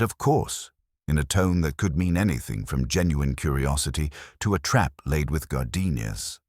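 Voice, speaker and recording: A man's voice: rumbling low, slightly rough, no echo, studio quality. A man reading a scripted detective story as narrator, in a wry first-person hardboiled manner.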